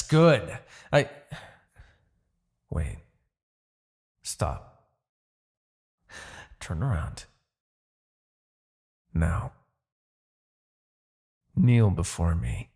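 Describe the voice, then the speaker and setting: A man voicing an arrogant, brooding demon king in a fantasy ASMR roleplay, condescending and theatrical, with a husky, gravelly low voice and pauses. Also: exaggerated voice. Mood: commanding, wary. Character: arrogant demon king, gloating yet begrudgingly attached captor.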